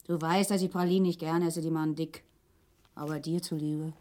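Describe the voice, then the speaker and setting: An actress playing a nagging, put-upon East Berlin wife in middle age, scolding and needling in a grotesque German radio play. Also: female voice, low voice, steady tone, fairly quick, a little mellow, a little hiss or hum, lightly acted. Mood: sour, needling.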